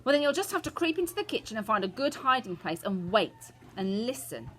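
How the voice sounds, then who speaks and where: A woman puts on an old storybook grandmother, firm and quick-witted. She speaks brightly with highly animated pitch and drawn-out emphasis. There is some noise behind her. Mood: conspiratorial, admiring. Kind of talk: storybook character voice.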